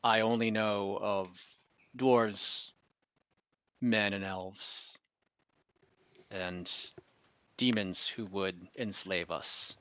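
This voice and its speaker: A man playing a wary old kobold survivor who speaks in a halting, deliberate, questioning way. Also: on the low side, steady tone, a bit bright, clear, resonant tone, no room sound, muffled recording, pitched below the performer's own voice.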